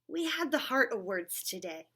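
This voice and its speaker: An earnest, thoughtful little schoolboy from a storybook, as a woman reader voices him. The voice sits high with a breathy edge, explaining things eagerly and sincerely. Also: very animated, normal speed, fairly bright tone, exaggerated voice. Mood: sad, hesitant. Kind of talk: children's storybook reading.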